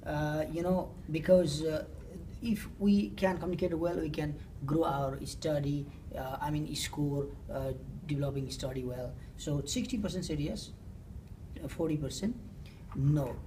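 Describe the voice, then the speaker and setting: A woman with a Nepali accent presenting survey results, speaking haltingly and often rephrasing her questions. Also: sits low, mellow. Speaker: student presenter. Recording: distant mic with faint room noise.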